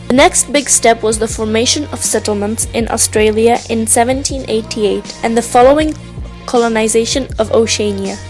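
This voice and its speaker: A woman's voice: on the high side, low-quality recording. A woman narrating a prepared text, reading it evenly like a class presentation. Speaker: student narrator. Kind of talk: scripted narration. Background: hip hop music.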